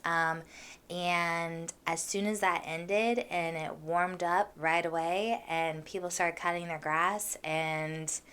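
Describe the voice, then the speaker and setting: A young woman hosting a casual podcast, chatting with a froggy, hoarse voice from allergies. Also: lowish voice, fairly even intonation, slow, a bit bright. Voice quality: froggy, husky voice. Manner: chatty, with many fillers and laughs. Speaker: podcast host. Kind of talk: casual solo podcast chat.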